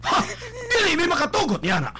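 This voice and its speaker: A man's voice: very high-pitched, wildly swooping pitch, brisk, full character voice. A man playing a stern, domineering, class-proud father in a radio drama, scolding and giving orders emphatically. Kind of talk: melodramatic Philippine radio drama acting. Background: dramatic music.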